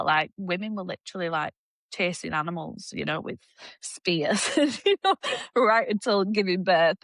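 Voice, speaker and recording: A young woman with a Yorkshire accent, a women's fitness coach, talking in an animated, laughing way as a podcast guest. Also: on the low side, no echo. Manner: animated, laughs often, quick asides. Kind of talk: casual podcast interview.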